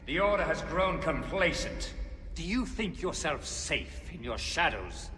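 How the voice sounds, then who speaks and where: A bright, forceful video game villain, sneers like a scheming secret-order mastermind. His delivery swings widely and is contemptuous and theatrical, ringing through a long hall reverb. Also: a little high, big pitch swings, a little slow, exaggerated voice. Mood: scornful. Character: taunting, power-hungry male Templar mastermind. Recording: echoey hall reverb with faint noise.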